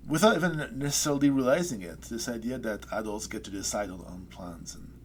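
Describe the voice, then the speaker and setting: A low-pitched middle-aged man talks slowly and thoughtfully about his own poem. He searches for words and hesitates often. A faint hiss sits under the recording. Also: lively intonation. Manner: thoughtful, hesitant with many fillers. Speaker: poet guest. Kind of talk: poetry reading and interview.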